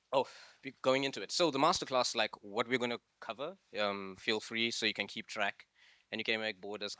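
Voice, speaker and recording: Low, bright-toned man giving a talk. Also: clean tone, no echo. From Zimbabwe. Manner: loose, conversational, lots of "like" and "um". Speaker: masterclass speaker. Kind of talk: live presentation to an audience.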